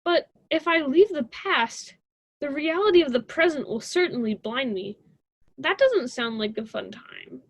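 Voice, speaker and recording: A woman playing a stubborn, cocky college student in an amateur radio drama, flippant and husky, on a dry, dull recording. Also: high voice, expressive, measured pace, dry recording. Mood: skeptical. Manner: flippant, casual, argumentative.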